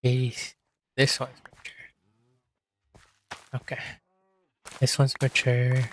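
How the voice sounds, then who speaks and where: A man with a hoarse voice, quietly talking himself through a game as he plays, in a relaxed, slow, muttering way. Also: mid-range voice, slight background noise. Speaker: gaming video host. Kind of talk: casual gameplay commentary.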